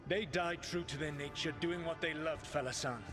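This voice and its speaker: An actor playing a grave, commanding ancient elven mage in a video game, with formal, deliberate phrasing. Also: male voice, on the high side, natural voice. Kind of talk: dramatic video game voice acting. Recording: loud music behind the voice.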